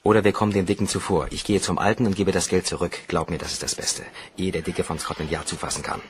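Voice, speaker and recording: A man acts out an anxious young bank clerk hiding a crime in a radio drama. He is defensive and uneasy, with a low-pitched voice. The recording carries faint noise. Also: little pitch movement, fast talker, lightly acted. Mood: tense, resolute. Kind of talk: German crime radio play acting.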